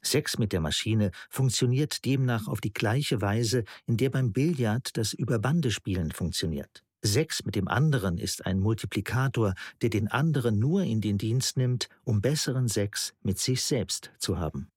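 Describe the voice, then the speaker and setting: Serious lecturer in a radio play, husky low voice, didactic, exact wording. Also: male voice, normal speed, hardly any pauses, darkish tone, no echo, clean studio sound. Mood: earnest, didactic. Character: theorizing male lecturer on machines and desire. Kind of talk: scripted essayistic German radio play.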